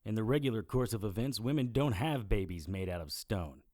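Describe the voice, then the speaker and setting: A wry male investigator played by an actor tells his case like a noir audio drama. His voice is low and dark, recorded dry, with a deadpan delivery that stays unimpressed by the strange. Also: fairly quick, dry recording, close to an everyday voice. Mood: probing. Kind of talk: first-person detective audio drama narration.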